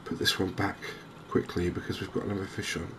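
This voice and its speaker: A soft-spoken man with an English accent giving unhurried, hesitant ASMR commentary over a video game. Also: deep voice, level tone, noisy background. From England. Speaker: gaming video host.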